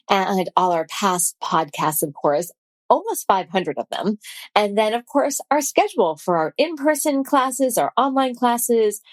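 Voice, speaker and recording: A woman's voice: fairly low pitch, darkish tone, no room sound. A woman podcast host reading a scripted, enthusiastic intro and show promos into a close studio mic. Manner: upbeat, enthusiastic, self-described science geek.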